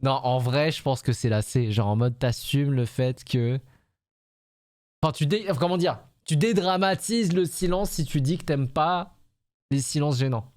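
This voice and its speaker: A man's voice: medium pitch, moderate pace, a little mellow. Joking French man streaming a game. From France. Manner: jokey, teasing, chatty. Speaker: streamer playing a dating game. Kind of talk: casual live game commentary.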